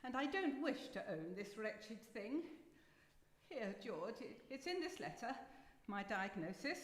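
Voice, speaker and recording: A woman's voice: on the high side, sing-song, a little slow. A woman playing a playful, genteel society lady who is seriously ill but defiant, in a live radio drama. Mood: hesitant, grave. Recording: echoey hall, live stage performance.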